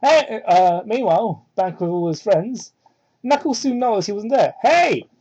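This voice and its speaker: A woman with a soft, dark tone reads a story aloud in amateur dramatic style. She keeps breaking off to make irreverent, swearing comments. The sound is dull and lo-fi. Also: animated, normal speed, husky. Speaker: amateur fan fiction reader. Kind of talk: dramatic reading with mocking asides. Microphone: cheap microphone. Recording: muffled low-quality microphone.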